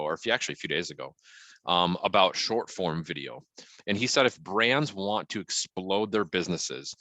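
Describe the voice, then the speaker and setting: Middle-aged male consultant: booming, deep-leaning voice, dry close sound, emphatic and conversational. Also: sharp tone, dry recording. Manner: emphatic, punchy, frequently checking in with "right?". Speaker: guest marketing consultant. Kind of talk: conversational business advice to an online group.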